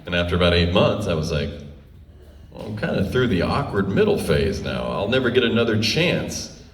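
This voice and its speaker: A man's voice: low-pitched, big pitch swings, normal speed. A forty-year-old man telling comic stories to a live audience in a wry, self-deprecating way, often laughing as he talks. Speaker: touring performer telling stories on stage. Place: live stage show before an audience. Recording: roomy live stage, distant mic.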